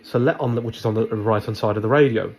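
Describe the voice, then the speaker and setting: A man presenting a step-by-step product demonstration, instructing in a halting way as he thinks aloud. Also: fairly low pitch, a little mellow.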